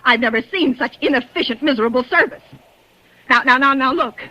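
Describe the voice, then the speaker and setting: A woman's voice: sits high, brisk, bright, forceful tone. A woman in 1940s radio acting, playing a nervous, demanding bedridden invalid who stammers when flustered, on a lo-fi vintage radio recording. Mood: irritable. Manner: stammering, frantic, petulant.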